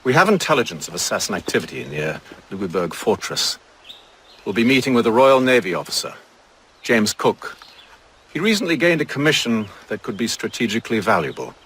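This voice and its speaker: Low, commanding secret-order leader. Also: male voice, sing-song, a bit bright, a little hiss or hum. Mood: grave. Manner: formal, measured, commanding. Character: stern, authoritative male leader of secret order. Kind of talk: video game cutscene acting.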